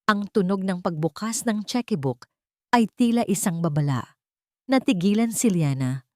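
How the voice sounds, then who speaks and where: A woman narrating a scripted dramatic story in a measured, theatrical way. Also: mid-range voice, expressive, no room sound, studio quality.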